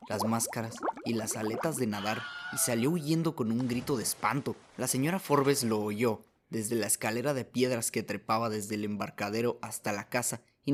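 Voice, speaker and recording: A man's voice: mid-range voice, background noise. A man narrating a short story as a scripted radio drama, reading as the narrator.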